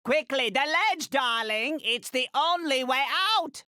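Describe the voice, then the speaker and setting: Tiny bossy fashion designer lady for a video game, super dramatic n condescending, bright forceful voice, dry sound. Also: extremely high pitch, measured pace, dry recording, heavily acted. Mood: urgent, alarmed. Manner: haughty, dramatic, clipped delivery with affectionate condescension. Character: tiny, imperious, theatrical middle-aged female fashion designer for superheroes. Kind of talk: video game character voice lines.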